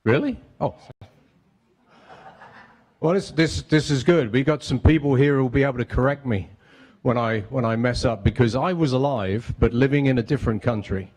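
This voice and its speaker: A man's voice: medium pitch, normal speed, a little mellow. Man, 50s, witty lecturer working the crowd, mid-range voice. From England. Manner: joking, audience-engaging. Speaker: guest speaker. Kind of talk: public lecture. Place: museum cafe with audience.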